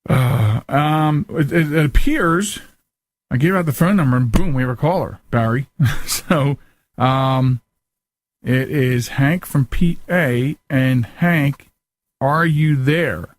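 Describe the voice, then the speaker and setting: A man hosting a casual live call-in radio show, talking haltingly and often repeating his words. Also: medium pitch, dry recording.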